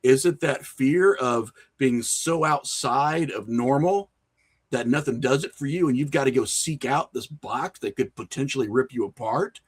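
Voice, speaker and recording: A man talking casually and conversationally as a podcast guest, telling things in an animated, storytelling way. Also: mid-range voice, moderate pace, husky. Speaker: podcast guest, horror filmmaker and writer.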